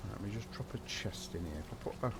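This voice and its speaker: Low croaky man streaming. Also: animated, fast talker, very noisy. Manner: rambling, self-deprecating, chats with viewers. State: croaky from a cold. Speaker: game streamer. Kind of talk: casual live stream chat while building.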